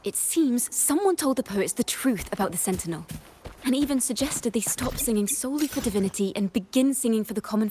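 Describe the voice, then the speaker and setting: An actress playing a gentle, thoughtful wandering bard in a video game, speaking in an expressive, measured and poetic way. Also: female voice, mid-range voice, lightly acted. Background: game music.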